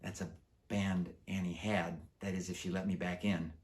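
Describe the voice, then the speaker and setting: A man who is an author reading his children's book aloud in an animated storytelling style. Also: deep voice, moderate pace.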